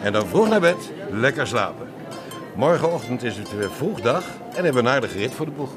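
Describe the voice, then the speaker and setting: A man's voice: big pitch swings, fairly quick, music in the background, lightly acted. An actor playing a genial, worldly older man, chuckling and avuncular, in a radio drama. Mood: jovial, welcoming. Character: genial, wealthy older widowed expatriate man, worldly and talkative. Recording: noisy outdoor setting with vehicle rumble.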